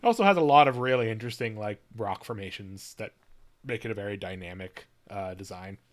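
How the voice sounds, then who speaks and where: A man's voice: on the low side, fairly quick. A man commentating casually on game cutscenes as a streamer, with wry, joking asides.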